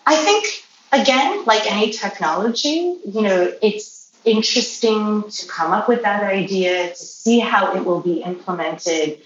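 A woman's voice: medium pitch, animated, measured pace, muffled recording. Woman, native Polish speaker, roomy distant sound. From Poland or Polish-speaking family. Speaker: interview guest, healthcare professional. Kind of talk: conversational interview answers. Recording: roomy, distant mic, faint noise.

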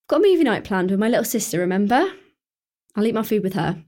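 A woman's voice: mid-range voice, sing-song, quick pace, a little mellow, close to an everyday voice. Actress as teen schoolgirl, earnest and coaxing, mid-height voice. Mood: warm. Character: teenage schoolgirl Emma, caring, teasing. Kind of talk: radio drama acting.

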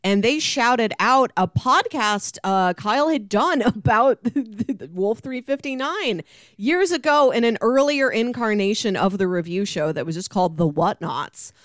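A woman's voice: a little high, animated, unhurried, no room sound, clean studio sound. A woman hosting a podcast in a casual, friendly chat, talking appreciatively to her guest. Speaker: podcast host.

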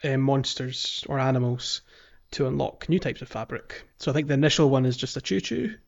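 A man's voice: mid-range voice, fairly quick, creaky. A man co-hosting a casual gaming podcast, who talks in a chatty way with lots of ums and restarts. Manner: chatty, many ums and restarts, laughs easily. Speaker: podcast co-host. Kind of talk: casual game-talk podcast. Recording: dull lo-fi podcast audio.

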